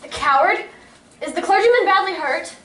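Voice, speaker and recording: A woman playing an excitable Victorian London woman, exclamatory and theatrical, in a radio-style stage play. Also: very high-pitched, moderate pace. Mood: alarmed. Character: excitable women in a Victorian London street scene. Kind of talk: old-time radio drama acting on stage. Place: community playhouse stage. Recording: echoey, distant stage recording, faint noise.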